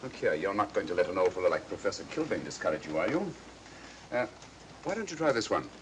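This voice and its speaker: A man with a British accent, acting a cool, astute gentleman detective with clipped, dry assurance in a vintage mystery film. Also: big pitch swings, fast talker. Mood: dryly amused. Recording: vintage film soundtrack, noisy train rumble.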